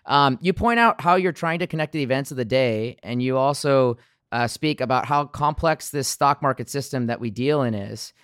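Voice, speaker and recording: A man from the US West Coast hosts a podcast interview. His voice is smooth and resonant at a middle pitch. It is recorded close and dry, and he frames each question at length. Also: a bit bright, dry recording. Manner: measured, asks long framed questions. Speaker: podcast host.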